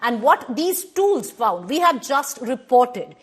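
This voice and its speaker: A firm Indian English woman anchoring a televised panel, her voice a little higher than most. She puts questions in a measured, controlled way and holds her ground when challenged. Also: sing-song, slightly rough. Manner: firm, measured, corrects guests. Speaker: news anchor. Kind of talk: moderating a TV debate.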